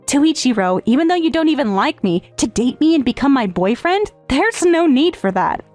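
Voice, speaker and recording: Woman acting, high-pitched young step-sister, coy, devoted, teasing, manga dub romance comedy, light music behind. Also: sing-song, moderate pace, darkish tone. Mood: earnest, meek.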